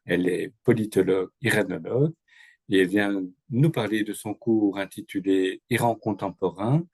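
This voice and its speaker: A man in charge of the program, formally introducing a guest lecturer, polite and pausing on fillers. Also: fairly low pitch, steady tone, vocal fry, darkish tone, no room sound. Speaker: program director, host.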